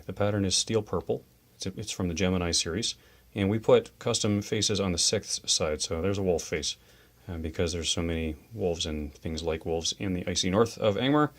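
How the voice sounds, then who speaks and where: Deep-voiced man explaining game rules. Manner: chatty, enthusiastic, quick asides. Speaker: host of a tabletop wargame channel. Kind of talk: casual explanatory chat about game models and rules.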